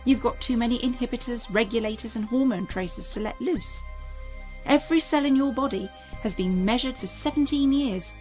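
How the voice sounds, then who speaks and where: A woman acts as an alien mother in a sci-fi audio drama, her voice a little high. She explains things gravely and deliberately, earnest and guarded, with soft music underneath. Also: natural voice.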